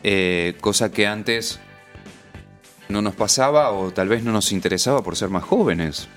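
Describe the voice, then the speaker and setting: Man, Rioplatense accent, lowish voice, casual reflective guest, halting delivery, repeated words, background music. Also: fairly bright tone. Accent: Argentine, Rioplatense. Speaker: podcast guest.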